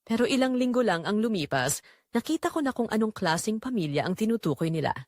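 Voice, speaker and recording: Expressive Filipina mother, weary and confiding, played by an actress. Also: on the high side, fast talker, dry recording, studio quality. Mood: weary, pained. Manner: emotive, confiding storytelling. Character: weary, anguished Filipina mother working abroad as a maid. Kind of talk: dramatic first-person confessional narration for an audio drama.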